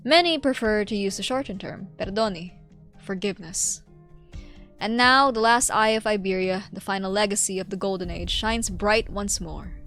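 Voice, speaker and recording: A woman's voice: mid-range voice, moderate pace, fairly bright tone, soft background music, close to an everyday voice. A woman voicing a grave, eloquent old male inquisitor in game dialogue, speaking formally and reflectively. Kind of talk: game dialogue read aloud.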